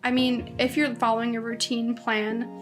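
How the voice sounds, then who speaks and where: A woman's voice: fairly high pitch, little pitch movement, moderate pace, soft background music. A woman in her twenties hosting a podcast alone, talking casually and rambling with lots of "like"s and restarts. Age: 20s. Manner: chatty, rambling, many "like"s and restarts. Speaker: podcast host. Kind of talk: casual solo podcast monologue. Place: indoors at home.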